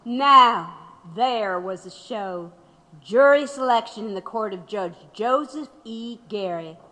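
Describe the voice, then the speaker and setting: An actress playing a sardonic storyteller of a rigged trial, delivering deliberate, pointed dramatic narration. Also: female voice, fairly high pitch, pushed and bright. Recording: long hall reverb.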